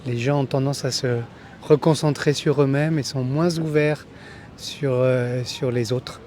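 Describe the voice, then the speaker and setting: A man from France giving a calm, reflective answer in a street interview. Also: medium pitch, unhurried, slight background noise. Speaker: passer-by. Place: city street outdoors.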